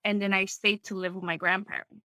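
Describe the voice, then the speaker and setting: A woman speaks at a middling pitch. She shares personal stories in a conversational, thoughtful way, open and inclined to seek agreement as she goes. The sound is dry, with hardly any room in it. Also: flat delivery, a little fast, bright, forceful tone, smooth, no room sound. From Peru, small town. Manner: reflective, open, often seeks agreement with tags.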